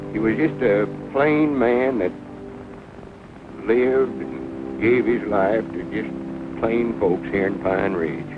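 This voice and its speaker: A man playing a folksy, sincere small-town storekeeper with a rural Southern US accent in a 1940s film. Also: on the low side. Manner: folksy, homespun, unhurried. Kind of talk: 1940s film comedy-drama acting. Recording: old 1940 film soundtrack, muffled, loud music.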